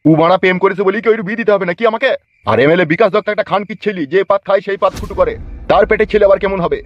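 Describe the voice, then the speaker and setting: A man's voice: high-pitched, very fast, close to an everyday voice. A man voicing a hot-tempered, stubbornly proud village father in a Bengali comedy cartoon, with a rural West Bengal accent and a blustering manner. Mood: angry, stubborn. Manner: blustering, threatening. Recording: dull lo-fi sound, faint music.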